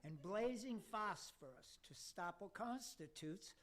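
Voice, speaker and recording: A man's voice: high voice, expressive, a little slow, a bit bright. A man playing a pompous devil who addresses demons in a formal, oratorical way in an amateur radio play. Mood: stern, pompous. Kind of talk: amateur radio theater acting.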